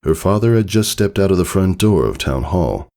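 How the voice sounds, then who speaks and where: A man reading a story aloud as narrator, in an expressive, theatrical, dramatic style. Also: sits low, normal speed. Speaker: fan fiction narrator.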